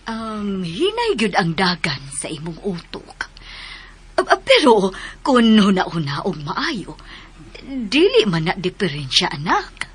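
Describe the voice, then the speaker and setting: A woman's voice: mid-range voice, huge pitch swings, slow pace, slight background noise. An actress in a melodramatic radio drama playing a protective, emotional mother. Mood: gentle, hesitant.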